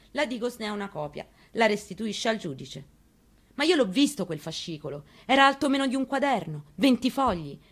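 A woman performing a dramatic reading of a memoir on the radio, telling it in the first person in a quiet, measured way. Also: on the low side. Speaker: reader. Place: radio studio.

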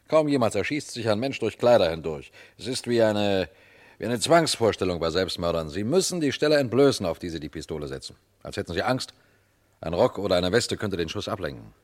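A low male voice plays a shrewd police detective in a radio crime drama. He speaks deliberately and pointedly, unhurried as he lays out his case and turns directly to his listeners. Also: big pitch swings. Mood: insistent, accusing. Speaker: detective and narrator. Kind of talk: German radio crime drama acting and narration.

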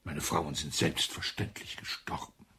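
An actor playing a suave, sinister husband in a radio play, a colonel with many dead wives, husky and languid. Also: male voice, extremely low pitch, steady tone, measured pace, sharp tone, full character voice. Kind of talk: radio drama acting.

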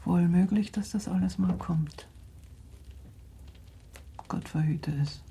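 An actress playing a shrewd, worried middle-aged aristocratic mother in a radio play, talking in a measured, confiding way that sometimes sinks to a whisper. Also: fairly low pitch, vocal fry, mellow, husky, exaggerated voice. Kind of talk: 1960s German radio drama acting.

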